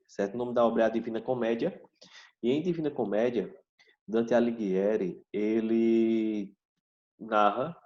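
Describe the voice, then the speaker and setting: A man teaching a history class over a video call, explaining slowly and checking in with "tá certo?" after each point. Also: medium pitch, a little mellow. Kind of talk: online class lecture. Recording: dull video call audio.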